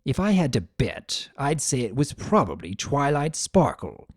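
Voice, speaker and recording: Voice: medium pitch, big pitch swings, measured pace, no room sound, clean studio sound. A performer playing an imprisoned chaos spirit, telling the story in the first person in a wry, theatrical way. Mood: bewildered, musing.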